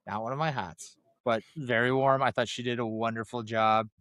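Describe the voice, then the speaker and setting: A man's voice: mid-range voice, fairly even intonation, normal speed, a bit bright, no echo, clean studio sound. A man co-hosting a podcast, talking casually about films and repeating himself for emphasis. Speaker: podcast co-host. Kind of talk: casual film review chat.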